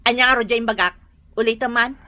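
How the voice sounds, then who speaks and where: A woman's voice: on the high side, steady tone, fast, sharp tone. A woman with an Ilocano accent playing a bossy, thrifty, scolding wife in a comedy radio drama, speaking emphatically.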